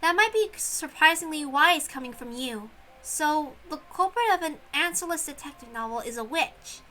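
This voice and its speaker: A woman's voice: high-pitched, expressive, normal speed, sharp tone. A woman voicing a bookish, thoughtful young mystery fan, earnest and musing, in a dramatic visual novel reading. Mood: curious, musing.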